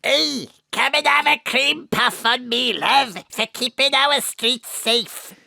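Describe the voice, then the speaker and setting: A woman's voice: high-pitched, takes it slowly, gravelly, bright, forceful tone, dry recording, studio quality, full character voice. An actress playing a motherly, bossy older baker in an audio drama, homely and chivvying. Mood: kindly. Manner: homely, chivvying, affectionate address.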